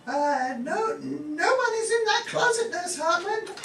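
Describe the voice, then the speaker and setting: A woman's voice: very high-pitched, animated, a little slow, background noise, heavily acted. A woman playing a helpful, slightly nervous nurse in an amateur staged radio play. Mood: eager, nervous. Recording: echoey, distant stage.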